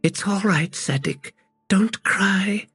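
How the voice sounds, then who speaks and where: A man's voice: fairly high pitch, expressive, slow pace, no echo, faint music behind, voice pushed up. A man voicing an intense, vengeful young girl in a chanting, impassioned manner. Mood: soft whisper.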